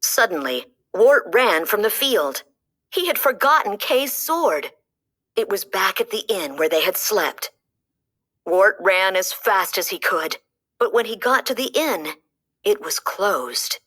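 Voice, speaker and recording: Slow, expressive woman reading a storybook. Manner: slow, lively storytelling with dramatic pitch swings. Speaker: storybook reader. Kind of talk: children's read-aloud narration.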